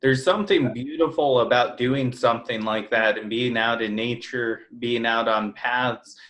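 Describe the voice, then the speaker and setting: A man's voice: mid-range voice, steady tone, measured pace, a bit bright, poor audio. An American man chatting casually as a podcast co-host. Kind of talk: casual chat.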